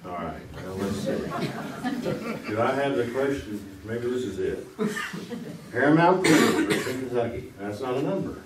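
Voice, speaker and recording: Actor playing a fumbling old-time quiz host, jokey banter, distant echoey stage sound. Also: male voice, medium pitch, animated, normal speed, crowd noise, lightly acted. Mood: joking. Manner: joking, flustered banter. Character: wisecracking bumbling 1950s game show host. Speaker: game show host. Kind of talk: stage radio comedy. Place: small theater stage. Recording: echoey theater from far off, audience noise.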